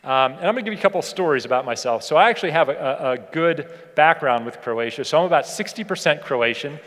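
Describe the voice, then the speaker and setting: A man's voice: a little high, lively intonation, fast talker, fairly bright tone. A middle-aged American man giving a motivational keynote, talking in a conversational, emphatic way and repeating key phrases. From United States (Pittsburgh). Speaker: keynote speaker, leadership educator. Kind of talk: motivational keynote speech with personal anecdotes. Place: large indoor hall, speaking to an audience. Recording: echoey hall with long reverb.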